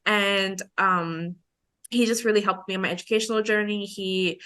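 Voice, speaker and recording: A young woman in her twenties with a bright, forward voice. She co-hosts a podcast and speaks casually, like telling friends a personal story, slipping into remembered dialogue as she goes. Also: mid-range voice, fairly even intonation, normal speed, clean tone. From Belize. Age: 20s. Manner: chatty, informal, acts out remembered dialogue. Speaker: podcast co-host.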